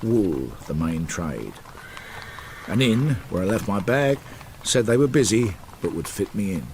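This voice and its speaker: An actor playing a reflective Victorian detective, narrating a radio drama in clipped, understated phrases. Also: male voice, on the low side, big pitch swings, unhurried, darkish tone, a little hiss or hum. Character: reflective Victorian detective recalling an old case.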